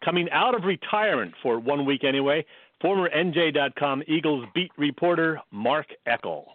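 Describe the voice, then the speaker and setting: A man's voice: fairly high pitch, lively intonation, moderate pace, dry recording. Middle-aged man, phone-like radio sound. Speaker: sports radio co-host. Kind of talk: sports talk with scripted commentary segments.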